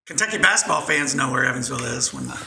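A man's voice: medium pitch, animated, quick pace, gravelly, a loud crowd. A man co-hosting a podcast as a laid-back sidekick in casual chat. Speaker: podcast co-host.

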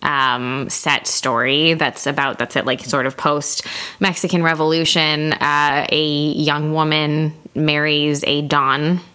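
Bright, low-pitched woman podcast co-host. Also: level tone, smooth. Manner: chatty and informal, quick to joke. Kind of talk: casual book discussion chat.

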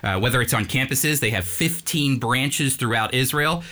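A man hosts a radio program with a bright, forward tone that carries well. He talks earnestly, stumbling and restarting now and then. His voice is close and dry, with no room sound. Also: mid-range voice, moderate pace, no echo. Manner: earnest, with frequent restarts and fillers. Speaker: radio show host and teacher. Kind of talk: radio interview and commentary.

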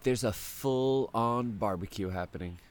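A man's voice: lowish voice, unhurried, slight background noise. A man in his thirties from Sweden, hosting a podcast in casual chat. He laughs often while telling rambling anecdotes. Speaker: podcast host.

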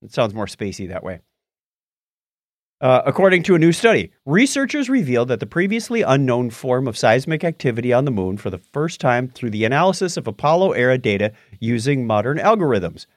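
A man's voice: medium pitch, a little fast, no room sound, studio quality. A man co-hosting a podcast in a casual, jokey style, bantering and reading items aloud. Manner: jokey, animated. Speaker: podcast co-host.

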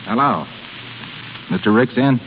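An actor playing a tough, plain-spoken sea captain with a casual, blunt, laconic manner, in 1930s radio drama style. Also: male voice, mid-range voice, lively intonation, measured pace, natural voice. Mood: matter-of-fact. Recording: scratchy, narrow 1930s radio recording.

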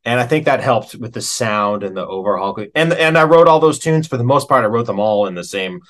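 A middle-aged American man talking casually as an interview guest, telling stories like a working musician, with pauses and emphasis on key words. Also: mid-range voice, expressive, fairly bright tone. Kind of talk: casual conversational storytelling.

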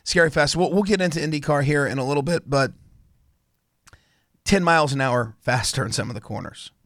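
A man's voice: fairly high pitch, brisk, a bit bright, no room sound, studio quality. A man with laryngitis hosting a casual motorsport show on college radio, rambling and often restarting his sentences. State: hoarse from laryngitis. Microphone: studio microphone.

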